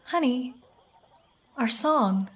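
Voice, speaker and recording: A woman playing an affectionate, playful country wife and mother in an audio drama, with a gentle, flirtatious manner. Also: sits high, expressive, extremely slow, full character voice.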